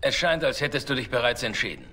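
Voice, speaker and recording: An actor voicing a roguish, cynical elf outlaw in a video game, with a dry, wry way of talking. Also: male voice, mid-range voice, big pitch swings, fast, sharp tone, breathy edge, exaggerated voice. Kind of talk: dramatic video game dialogue.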